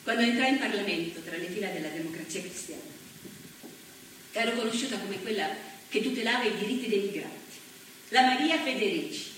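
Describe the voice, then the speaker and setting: Actress doing a solemn postwar lady politician, slow measured talk, far off in a big echo. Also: medium pitch, a bit bright, natural voice. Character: postwar woman politician recalling her past, earnest. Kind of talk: theatrical monologue. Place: theatre stage. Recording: echoey stage from far off, faint hiss.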